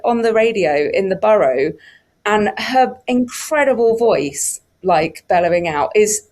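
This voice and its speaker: A woman's voice: medium pitch, a little mellow. Enthusiastic woman speaking on a panel. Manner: effusive, emphatic, conversational. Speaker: audiobook production team member on a panel. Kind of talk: enthusiastic panel interview talk.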